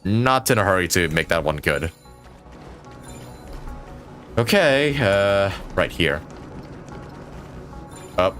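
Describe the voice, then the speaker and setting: A man giving casual live commentary on a game, rambling and thinking out loud as he plays. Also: deep voice, sing-song, slow, long pauses, soft background music. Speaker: game streamer.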